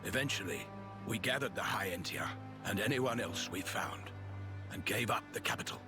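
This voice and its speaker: A man's voice: lowish voice, moderate pace, music in the background, lightly acted. A man voicing a weary, grieving middle-aged guard veteran in a video game, blunt and resigned. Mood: sorrowful.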